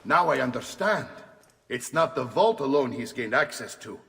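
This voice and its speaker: Echoing older uncle. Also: male voice, mid-range voice, lively intonation, normal speed, creaky, pushed and bright. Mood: earnest. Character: older Italian uncle, seasoned conspirator, earnest and weighty. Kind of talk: dramatic video game cutscene acting. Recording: long echoey hall reverb.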